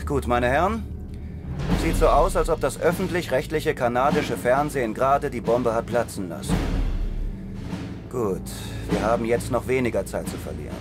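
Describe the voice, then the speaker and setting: Man acting as a commanding officer, condescending, clipped orders, mid-pitched male voice, audio drama, loud score behind. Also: moderate pace, music in the background, natural voice. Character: cool commanding male officer leading a secret operation.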